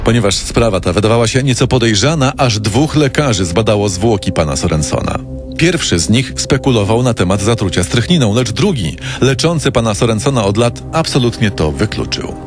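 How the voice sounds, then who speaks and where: A man's voice: lowish voice, animated, a little fast. A man narrating a scripted true-crime story with music behind him.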